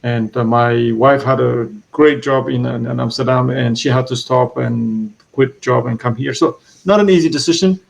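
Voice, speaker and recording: A man from Eritrea being interviewed as an author, telling his family's story in a halting way, with frequent restarts and repeated words. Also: mid-range voice, gravelly. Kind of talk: reflective storytelling.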